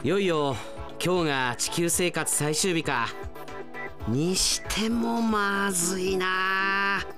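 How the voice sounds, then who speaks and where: A man's voice: high-pitched, takes it slowly, over music. A man playing a hapless, anime-obsessed alien agent in human disguise, muttering to himself melodramatically in a radio drama. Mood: uneasy.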